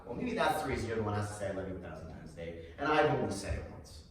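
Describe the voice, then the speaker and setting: An actor playing Sam, a troubled, defensive psychiatric patient, in an emphatic theatrical style. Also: male voice, on the low side, very animated, very fast. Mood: defensive, earnest. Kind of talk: theatrical stage acting. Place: live stage showcase in a room. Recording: very echoey room, distant mic, noisy background.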